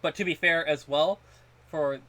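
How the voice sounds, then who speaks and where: A man chatting casually as a podcast co-host, haltingly, often repeating the start of his phrases. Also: on the high side, steady tone, a crowd in the background. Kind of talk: casual chat about food.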